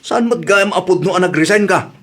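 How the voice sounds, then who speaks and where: A man's voice: high voice, a little fast, a bit bright. An actor with an Ilocano accent playing a stern, reproachful man in a radio drama. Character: stern man confronting a relative over wrongdoing.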